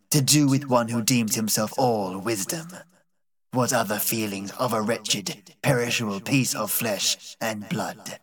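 Audiobook: male reader doing a bitter old servant woman, low and harsh, slow and brooding. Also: fairly bright tone, distant mic, close to an everyday voice. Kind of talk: audiobook character reading.

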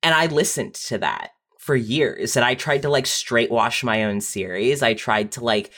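A writer talking frankly and casually as a podcast guest, animated when quoting others. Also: medium pitch, normal speed. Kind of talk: candid casual conversation.

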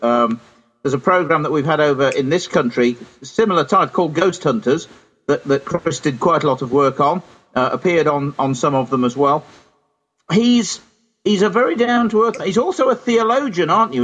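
A man's voice: on the high side, animated, moderate pace, fairly bright tone, poor audio. A British man chatting casually and chummily as co-host of a radio show, with a teasing streak.

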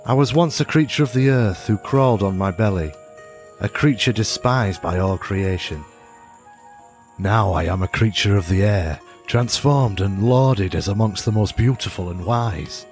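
A man voicing a proud, wise, tiny winged insect who instructs in a grand, slow storybook manner. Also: fairly low pitch, soft background music. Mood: proud, lofty.

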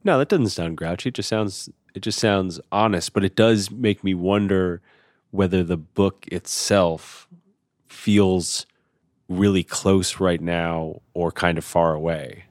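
A man hosting a podcast, casually chatting while losing his voice, relaxed and self-deprecating. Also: low-pitched, slow, no room sound, clean studio sound. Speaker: podcast host. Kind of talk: casual podcast chat and interviewing.

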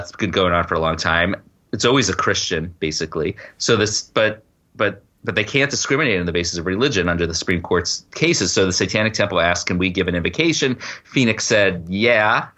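Low-voiced man, bright and conversational. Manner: halting, conversational explanations with informal asides. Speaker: podcast guest, law professor and author. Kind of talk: casual interview conversation.

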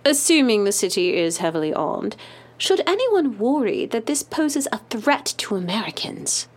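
A woman's voice: on the high side, big pitch swings, moderate pace. A woman playing a polished news interviewer in an audio drama, articulate, formal and insistent in her questioning. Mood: pointed, composed.

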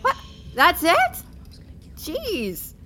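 A woman's voice: very high-pitched, wildly swooping pitch, very slow, background noise, heavily acted. An actress playing a peppy, sassy corporate tour guide in an audio drama, chirpy and coaxing as she addresses a school group. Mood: perky, coaxing. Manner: chirpy, over-enthusiastic, coaxing.

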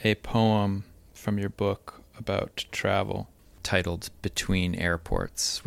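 Guy interviewer, low gravelly voice, quick questions, dry close mic. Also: a bit bright, dry recording. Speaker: interviewer host. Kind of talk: conversational interview.

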